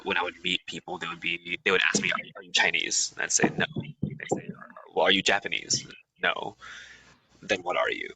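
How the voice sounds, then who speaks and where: Low, bright-voiced young American man. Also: breathy edge, no echo, low-quality recording. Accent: Californian American. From USA. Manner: relaxed, rambling, many ums and restarts. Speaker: guest. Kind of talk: casual interview chat.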